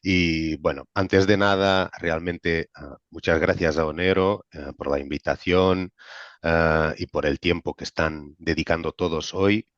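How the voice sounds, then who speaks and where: Very deep-voiced man with accented Spanish. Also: fairly even intonation, a bit bright. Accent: non-native Spanish. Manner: hesitant, halting delivery with frequent pauses and repeated words. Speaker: pharmaceutical medical director giving a webinar presentation. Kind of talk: informal explanatory presentation to patients and families. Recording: dull, roomy webinar audio.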